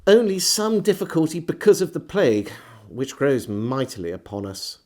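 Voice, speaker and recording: A man reading a historical diary aloud as a storyteller, phrasing it expressively and measuredly, with deliberate pauses. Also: mid-range voice, big pitch swings, a little mellow. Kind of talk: dramatised audiobook narration of a 17th century diary.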